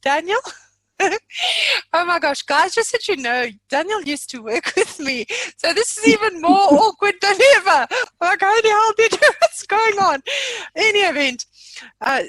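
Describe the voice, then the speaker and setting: A woman's voice: very high voice, expressive, a little slow, a bit bright, no room sound, rough recording. A woman hosting an informal online training session in a jokey, chatty, self-deprecating way. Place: remote online meeting from own room.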